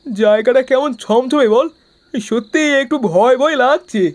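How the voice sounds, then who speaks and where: A brash young man in an animated horror story, played by an actor. He talks in a bossy, mocking way, like the scolding leader of a group of friends. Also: high voice, moderate pace, natural voice. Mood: uneasy. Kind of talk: animated horror cartoon dialogue.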